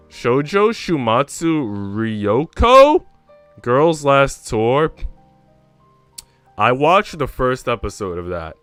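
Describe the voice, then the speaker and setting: Low, clear-voiced man chatting on stream. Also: a bit bright, no echo. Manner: informal, rambling, frequent swearing. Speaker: live streamer. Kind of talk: casual livestream chat.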